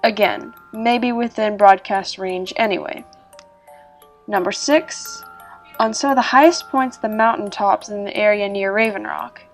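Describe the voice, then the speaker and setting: A woman reading scripted narration as a storyteller, in a measured, explanatory manner. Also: mid-range voice. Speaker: narrator reading an online horror story about a video game. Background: music.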